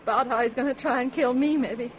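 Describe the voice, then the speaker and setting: A woman's voice: high-pitched, fairly even intonation, quick pace, close to an everyday voice. A woman acting in an old-time radio drama as a grieving, defiant country girl with accusing, folksy speech. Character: defiant, grieving backwoods girl, skinny daughter. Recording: muffled, noisy old radio recording.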